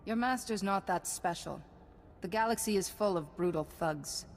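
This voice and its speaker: Una actriz haciendo de heroína Jedi segura. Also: female voice, medium pitch, moderate pace, close to an everyday voice. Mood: steady. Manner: calm, self-assured. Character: confident female Jedi hero. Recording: game audio with long reverb.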